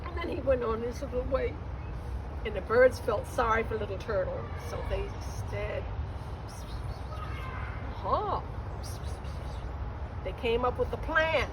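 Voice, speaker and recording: A woman's voice: on the high side, expressive, normal speed, noisy background. A woman telling a children's story expressively, drawing out key words.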